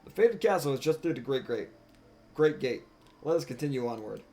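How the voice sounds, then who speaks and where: A man's voice: medium pitch, expressive, brisk, warm tone, natural voice. A man voicing a self-important talking cat on a solemn mission from a video game, in archaic, formal words. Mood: pompous, resolute. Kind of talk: reading game dialogue aloud. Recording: music behind the voice.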